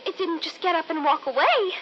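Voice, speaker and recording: A woman's voice: extremely high pitch, animated, fairly quick, fairly bright tone. Casting: frightened teenage girlfriend, breathless radio-serial melodrama, narrow old-radio sound over noisy effects. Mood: shaken. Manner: breathless, dramatic, anxious. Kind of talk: old-time radio drama acting.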